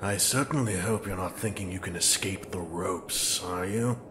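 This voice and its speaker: A man's voice: low voice, noisy background, lightly acted. A man playing a smug, menacing doctor holding a prisoner, speaking formally and with cool confidence in a web film. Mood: cool, confident. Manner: formal, measured, coolly confident. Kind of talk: scripted villain dialogue in a web film.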